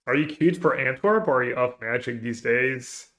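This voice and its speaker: A man with a bright, forward voice streams a card game live. He talks casually to his viewers and works through his plays out loud in a mid-range pitch. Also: expressive, moderate pace. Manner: thinking aloud, planning plays. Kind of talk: live gameplay commentary, reading chat.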